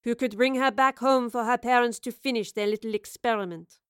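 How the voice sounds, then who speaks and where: A woman's voice: on the high side, steady tone, brisk, fairly bright tone, smooth, no room sound, studio quality, natural voice. A woman playing a power-hungry villainess in an audio drama, contemptuous and imperious, sneering and hissing her words.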